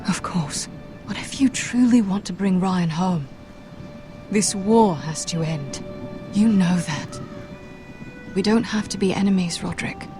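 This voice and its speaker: An actress playing a compassionate young noblewoman in a fantasy game drama, speaking in a measured, careful, gentle way. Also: lowish voice, natural voice. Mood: earnest. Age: young adult. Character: earnest, compassionate young noblewoman from a rival house. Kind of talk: dramatic video game acting. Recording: music behind the voice.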